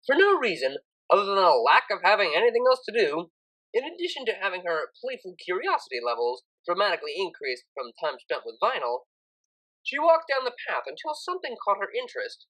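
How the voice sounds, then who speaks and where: Woman, narrator, scripted storytelling, animated intonation, mid-range pitch. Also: brisk, rough recording.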